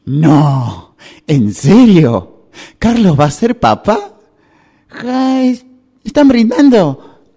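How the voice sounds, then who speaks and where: A man's voice: sits high, big pitch swings, extremely slow, long pauses, mellow, full character voice. A man playing a friendly guy held up in traffic and phoning old friends in a radio drama, with an Argentine Spanish accent. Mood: delighted, excited. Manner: informal, chummy. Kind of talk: radio drama acting, one side of a phone call.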